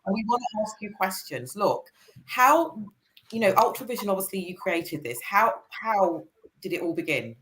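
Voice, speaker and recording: A woman's voice: mid-range voice, expressive, moderate pace, fairly bright tone, a little hiss or hum, rough recording. A middle-aged woman with a British accent, co-hosting a casual chat, talking in a chatty way with repeats and apologetic asides. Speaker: co-host.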